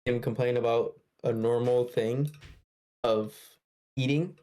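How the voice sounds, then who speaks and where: A man in his twenties talks casually on a podcast in a medium-pitched voice. He is blunt and slangy, and curses freely. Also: fairly even intonation, slow pace, warm tone. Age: 20s. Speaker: podcast participant. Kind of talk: casual chat.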